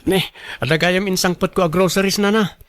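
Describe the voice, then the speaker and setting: High-voiced, earnest young father, acted by a man. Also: steady tone, brisk, fairly bright tone, no room sound. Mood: earnest, caring. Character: earnest young father, responsible, devoted to his child. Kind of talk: radio drama acting.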